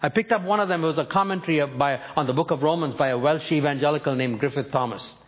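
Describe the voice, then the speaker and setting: A man in his seventies, born and raised in North India, preaching a sermon by telling his own life story in a measured, storytelling way. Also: on the high side, dull audio. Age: 70s. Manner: personal storytelling, measured with emphatic lifts.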